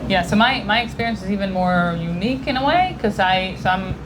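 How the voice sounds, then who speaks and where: A woman from Israel giving a public talk, speaking earnestly and often pausing to search for the right words. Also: medium pitch, animated. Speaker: guest speaker. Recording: noisy room recording.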